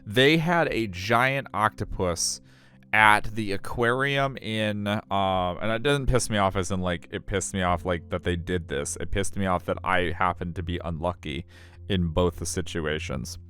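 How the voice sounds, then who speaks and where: A man's voice: sits low, unhurried, fairly bright tone, smooth. A man, casually commenting on and narrating a game in an informal, rambling way. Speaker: streamer reading a visual novel.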